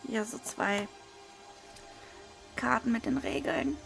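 A woman with a hoarse voice, casually commenting as she unboxes trading cards at a desk. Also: a little high. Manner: relaxed, with frequent hesitation fillers. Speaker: card unboxing video host. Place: indoors at a desk, hands over table. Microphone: small camera-mounted microphone.

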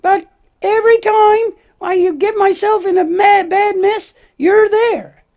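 A woman voicing an excitable, childlike little lamb with an earnest, emphatic manner in a children's puppet show. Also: very high voice, takes it slowly. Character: excitable little lamb, easily upset, devoted to friend.

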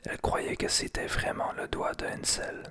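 A man reading a fairy tale aloud in a soft ASMR whisper. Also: normal speed. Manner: unvoiced whisper throughout. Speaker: storyteller.

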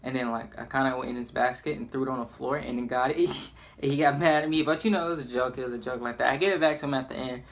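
A man's voice: mid-range voice, fast talker, a little hiss or hum. A young man chatting casually and informally in a rambling storytelling style, as a gaming YouTuber. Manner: informal, rambling, acts out quotes excitedly. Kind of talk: casual storytelling over gameplay.

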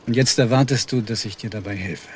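A man's voice: fairly low pitch, fairly quick, noisy background, close to an everyday voice. An actor playing an aggrieved, insistent secret lover pressing a woman in a crime drama. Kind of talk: German TV crime drama acting.

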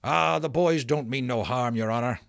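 A man voicing a huge, good-natured outlaw giant with a gruff, booming voice and rustic dialect speech, for a storybook. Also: normal speed, a bit bright, no room sound, clean studio sound. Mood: good-natured, jovial.

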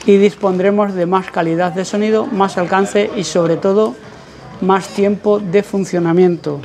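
A man narrates a hands-on tutorial as a presenter, explaining things with halting pauses. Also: high voice, fairly even intonation, a little mellow, soft background music. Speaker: presenter of a motorcycle channel. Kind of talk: tutorial voice-over commentary.

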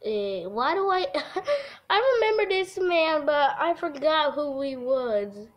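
A woman's voice: sits high, sing-song, slow pace. A giggly woman hosting a casual game livestream, chatting and reading aloud from a visual novel. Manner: giggly, breaks off into laughter. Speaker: livestream host.

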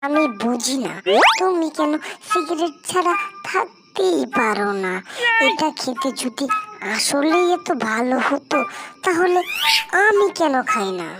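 A woman voicing a sharp-tongued, sassy young wife in a comic cartoon, with a scolding delivery. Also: high-pitched, expressive, measured pace, over loud music. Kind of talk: comic cartoon joke dialogue.

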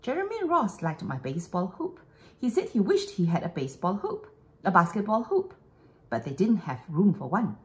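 A woman reading a children's picture book aloud in an expressive, dramatic way, with emphatic pauses and hushed asides. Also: mid-range voice. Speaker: storybook reader.

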